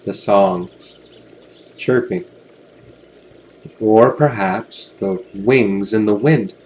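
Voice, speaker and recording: Slow, soothing male hypnosis narrator. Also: on the low side, a little hiss or hum, rough recording. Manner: soothing, unhurried, gentle delivery with long pauses. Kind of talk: guided hypnosis relaxation narration.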